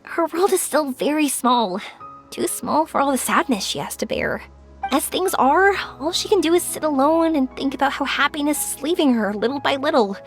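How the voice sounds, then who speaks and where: An actress playing a kind, spirited young woman in a video game, speaking earnestly and gently over music. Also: high voice, lively intonation, moderate pace. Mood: earnest, compassionate.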